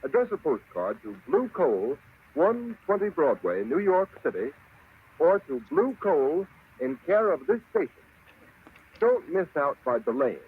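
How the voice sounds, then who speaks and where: An American man works as an old-time radio announcer, formal and emphatic, with a lively, swooping delivery at a fairly high pitch. The recording is tinny and narrow, like a vintage broadcast. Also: a little slow, husky. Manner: emphatic, formal, dramatic salesmanship.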